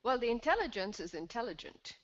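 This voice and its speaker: A woman's voice: on the high side, very animated, a little fast. A woman hosting a call-in show, speaking in an unscripted, reflective conversational style. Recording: slightly dull, band-limited call audio.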